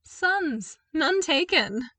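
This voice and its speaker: A woman's voice: sits high, sing-song, extremely slow, pushed and bright, dry recording, voice pushed up, exaggerated voice. A woman voicing a cheerful young male engineer with a casual, eager manner in a fan fiction audiobook. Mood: amused, easygoing.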